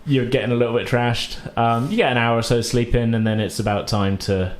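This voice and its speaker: A man's voice: medium pitch, steady tone, fairly quick. British man game mastering in an echoey room. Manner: informal, jokey, rambling. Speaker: game master and podcast host. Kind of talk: casual tabletop game narration. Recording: roomy echo with background noise.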